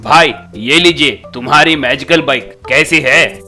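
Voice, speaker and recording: A breezy bike mechanic, voiced by a man, explains things matter-of-factly in a slightly high voice for a comic cartoon. Soft music runs underneath. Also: unhurried. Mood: breezy, explaining.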